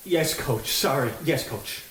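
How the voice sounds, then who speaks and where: Hapless, meek ballplayer, male actor: lively intonation, comedy radio play, echoey and distant. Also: a little high, unhurried, noisy background. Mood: meek, nervous.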